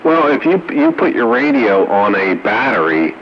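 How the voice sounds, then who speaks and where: A dull, hissy radio signal carries a low male voice. The man chats in an informal way, mixing banter with practical advice to other operators. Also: big pitch swings, normal speed. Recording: dull two-way radio with hiss.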